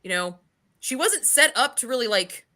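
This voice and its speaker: A woman with a forceful, bright voice talks casually and with strong opinions about books. She swears easily and piles up exasperated rhetorical questions in an informal podcast style. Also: a little high, sing-song, normal speed. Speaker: book club podcast host. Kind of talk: casual opinionated book discussion.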